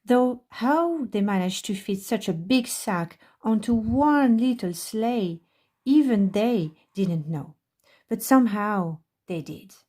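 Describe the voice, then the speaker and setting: A woman narrates a children's picture book for storytime. Her delivery is unhurried and her tone is mellow, at a middle pitch for a woman. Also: lively intonation. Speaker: library storytime reader.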